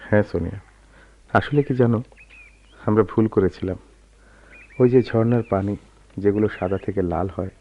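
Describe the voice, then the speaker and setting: Actor as brooding, wistful man, low muffled voice. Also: natural voice. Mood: resigned, sorrowful. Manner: poetic, dramatic, reflective delivery. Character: brooding, regretful man, poetic and wistful, leaving a woman. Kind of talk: Bengali radio drama acting. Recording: dull, noisy old radio drama recording.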